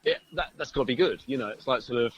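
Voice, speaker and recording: A man's voice: medium pitch, expressive, fast, fairly bright tone, slightly rough. A British man chatting casually and agreeably as a musician guest in an interview over a phone call. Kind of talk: casual chat. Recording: dull phone-call audio.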